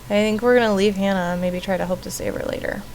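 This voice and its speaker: A woman's voice: mid-range voice, steady tone, quick pace, a little mellow, faint music behind, poor audio. A woman reading a visual novel aloud and commenting as she plays. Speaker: streamer playing a visual novel. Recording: faint background noise.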